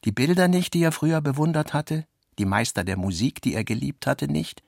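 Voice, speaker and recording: A man's voice: fairly high pitch, a little fast, vocal fry, no echo, studio quality. A man reading scripted literary narration as the narrator of a radio play, voicing quoted dialogue within the prose.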